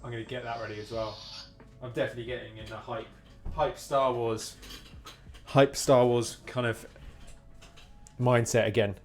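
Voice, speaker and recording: British man, casual game streamer, chatty running commentary, average pitch, faint music behind. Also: flat delivery, normal speed, pauses often. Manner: chatty, reacting aloud to the game. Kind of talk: casual live gameplay commentary.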